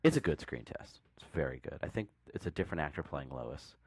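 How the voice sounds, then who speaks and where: A man's voice: very deep voice, animated, quick pace, breathy edge, no room sound, clean studio sound. A man hosting a casual podcast chat in a jokey manner, laughing readily. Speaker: podcast host.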